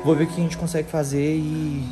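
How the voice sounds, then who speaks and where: A man's voice: on the high side, fairly quick, over music. A young Brazilian man vlogging in a car and parking garage, talking animatedly to his viewers on a handheld camera. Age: young adult. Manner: animated, exclaims a lot, addresses viewers as rapaziada. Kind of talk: excited vlog narration to viewers.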